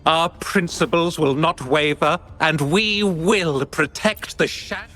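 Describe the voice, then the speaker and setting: A man's voice: a little high, slow pace, bright, forceful tone. An actor playing a pompous male head of an art exchange, speaking in a formal, indignant, declamatory way. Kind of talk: video game voice acting. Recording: game audio with faint background music. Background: faint music.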